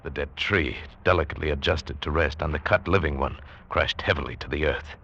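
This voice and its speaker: An actor playing a hunted, desperate castaway, narrating his ordeal with tense, deliberate intensity in a 1940s radio drama. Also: extremely low pitch, fairly even intonation, heavy background noise. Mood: tense, dread. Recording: dull vintage 1940s radio recording. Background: dramatic music.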